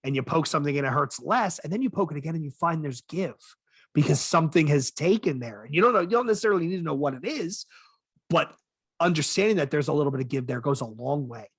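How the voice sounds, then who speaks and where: Higher-pitched man chatting, slightly husky. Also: animated, fast, fairly bright tone, dry recording. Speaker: podcast host. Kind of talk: casual podcast discussion.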